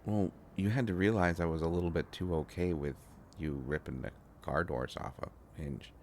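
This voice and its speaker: A man acting a shy, flustered, devoted inventor boyfriend in an intimate roleplay audio drama, talking in halting, stammering rambles. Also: deep voice, a little mellow, slight background noise. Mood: playful, affectionate.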